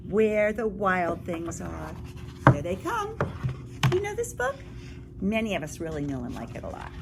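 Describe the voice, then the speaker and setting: A woman reading a picture book aloud to young children as a teacher or librarian would, expressive and engaging, dropping into whispers for suspense and putting questions to the listeners. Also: medium pitch, normal speed, very noisy.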